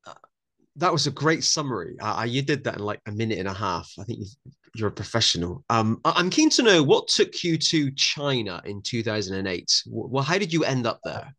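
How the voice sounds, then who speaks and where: A man's voice: medium pitch, very animated, fairly quick, bright, forceful tone. Chatty man hosting a podcast. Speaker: podcast host. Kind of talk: conversational podcast interview.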